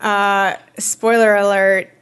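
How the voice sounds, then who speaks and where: Giggly woman speaking slowly. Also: mid-range voice, little pitch movement, fairly bright tone, clean tone. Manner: giggly, drawn-out speech. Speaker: podcast co-host. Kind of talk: casual chat.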